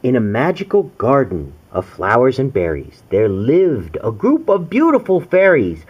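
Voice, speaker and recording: A man's voice: mid-range voice, wildly swooping pitch, unhurried, slightly rough, highs cut off. A man reading a children's storybook to his young daughter as her father, with exaggerated wonder. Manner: exaggerated wonder, gasps and coos.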